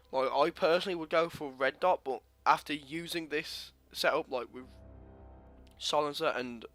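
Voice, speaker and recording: A man's voice: on the high side, a bit bright, dry recording. A young man with a slightly congested sore throat, casually talking through a game class setup with lots of fillers and restarts. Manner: rambling, many fillers and restarts. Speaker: gaming channel host. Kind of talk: casual gameplay commentary over menus.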